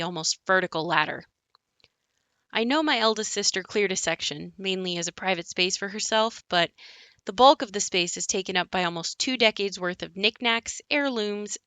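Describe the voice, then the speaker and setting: A woman's voice: mid-range voice, sharp tone, no room sound, natural voice. A young woman playing a wry narrator in an audio play, telling of her haunted house in a conversational monologue. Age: young adult. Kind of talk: scripted dramatic monologue.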